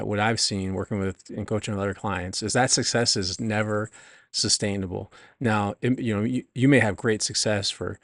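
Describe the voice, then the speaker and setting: A man being interviewed as an author and business coach, talking conversationally in a halting, reflective, explanatory way. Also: on the low side, no room sound, studio quality.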